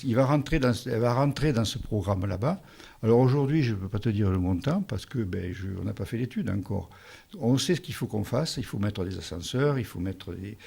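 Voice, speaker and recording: A mayor speaks into a handheld mic at a village public meeting. His voice is low, gravelly and dark. He talks haltingly, stops and restarts his phrases, and rounds his figures loosely. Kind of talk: public meeting presentation and answers.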